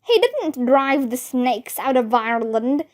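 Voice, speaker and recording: A woman's voice: high-pitched, big pitch swings, unhurried. A woman voicing a prim, insistent know-it-all schoolgirl in a storybook. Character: know-it-all schoolgirl quoting her mother.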